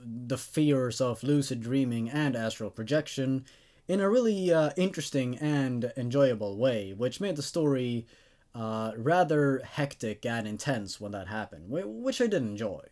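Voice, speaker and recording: A man hosting a casual online story review, talking to viewers in a halting, stammering way with repeated words. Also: mid-range voice, expressive, a little mellow. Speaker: host of a horror story review show.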